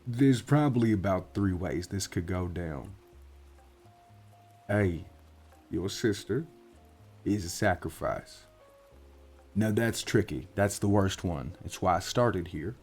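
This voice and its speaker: A man voicing a gruff, world-weary orc private investigator in a soft-spoken roleplay, with a folksy, wry drawl. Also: sits low, lively intonation, takes it slowly, long pauses, soft background music, exaggerated voice. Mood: serious, explaining. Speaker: voice actor in roleplay audio.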